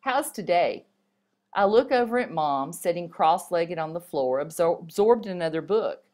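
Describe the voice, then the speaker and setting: A woman reading stories and poems aloud in an expressive storybook manner, with an occasional stumble. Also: lowish voice, normal speed.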